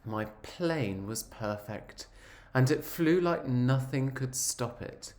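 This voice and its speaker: A man voicing an earnest, wistful egg who tells his own story in a measured, reflective picture-book narration. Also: mid-range voice, darkish tone. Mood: hopeful.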